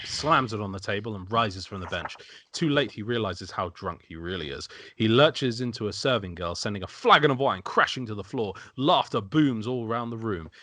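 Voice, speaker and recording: A man's voice: fairly low pitch, pushed and bright, a little hiss or hum. A man reading scripted narration and stage directions in an amateur table read.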